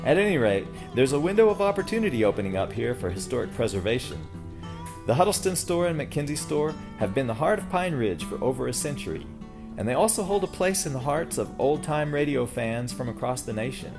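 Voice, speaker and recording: With plucked guitar clearly playing underneath, a man narrates a history tour from a script. His medium-pitched voice rises and falls in a lively, animated way as he hosts. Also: a little fast.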